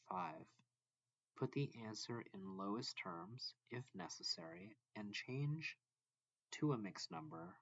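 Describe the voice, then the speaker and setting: A man reading a math lesson aloud as a teacher, deliberately and step by step, phrase by phrase. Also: lowish voice, creaky, a bit bright, dry recording, highs cut off. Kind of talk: slow read-along lesson narration.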